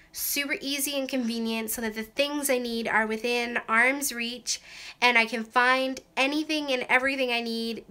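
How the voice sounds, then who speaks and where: A woman's voice: a little high, bright, forceful tone. A young woman with a Canadian accent, chatting casually to camera as a craft vlogger in her small bedroom craft room, with lots of "like" and "um".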